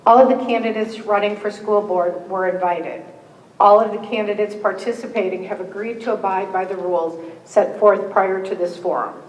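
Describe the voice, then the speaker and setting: A woman, moderating a candidate forum, reading the rules with friendly joking asides and a slightly hoarse voice. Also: medium pitch, steady tone, moderate pace. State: slightly hoarse, losing her voice. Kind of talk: reading scripted opening rules with casual asides. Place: meeting room with a live audience. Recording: echoey hall, distant mic.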